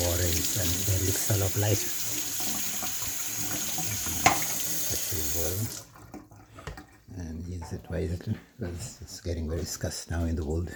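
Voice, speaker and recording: A middle-aged man from Kenya, chatting slowly in his home kitchen while cooking, with many fillers and soft laughs. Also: low voice, very noisy. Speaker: vlogger. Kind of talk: casual cooking vlog monologue.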